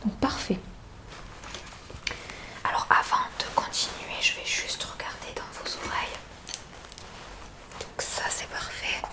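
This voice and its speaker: A woman whispering softly and breathily in an ASMR roleplay as a gentle, reassuring hearing examiner. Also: a little slow, pauses often, low-quality recording.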